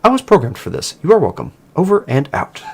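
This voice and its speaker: A man's voice: fairly high pitch, wildly swooping pitch, a little fast, darkish tone, husky, voice pushed up, heavily acted. A man voicing a formal, methodical machine assistant that gives helpful instructions in precise wording. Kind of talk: scripted roleplay dialogue read aloud.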